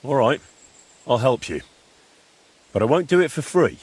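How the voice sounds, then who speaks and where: An actor playing a plain-spoken young medieval adventurer in a video game, husky and blunt in his questions. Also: medium pitch, sing-song, moderate pace, darkish tone. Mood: resolute. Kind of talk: game cutscene dialogue.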